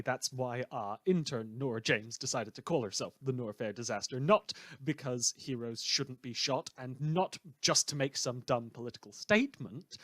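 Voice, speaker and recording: Man playing a sardonic radio host, higher-pitched, dry sound. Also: dry recording, lightly acted. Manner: sardonic, indignant, rhetorical. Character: opinionated male radio host ranting about corrupt heroes. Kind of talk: fictional radio show monologue.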